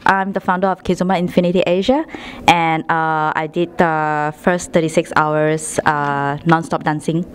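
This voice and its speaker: A woman from Malaysia, a dance school founder, answering interview questions in a measured way. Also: low-pitched, level tone.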